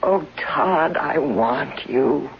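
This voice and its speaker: A woman's voice: low voice, expressive, drawn-out words, creaky, exaggerated voice. A woman acting a fearful, clinging invalid wife in a 1970s radio drama, pleading plaintively and emotionally. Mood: lovesick despair. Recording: noisy dull vintage radio broadcast.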